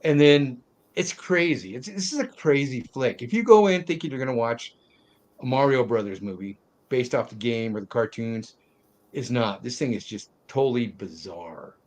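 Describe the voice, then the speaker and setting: A man's voice: medium pitch, expressive, normal speed, darkish tone. An older man hosting a casual movie discussion, rambling and opinionated in colloquial speech. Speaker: host of a film review panel.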